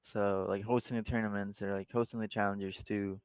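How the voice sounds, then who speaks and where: A man's voice: fairly low pitch, flat delivery, a little fast, clean tone, dry recording. A young man from Mexico with a Mexican Spanish accent, a guest chatting casually in an interview, laid-back with lots of "like" and laughter. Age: young adult. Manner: laid-back, laughs often, many fillers. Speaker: guest, esports player.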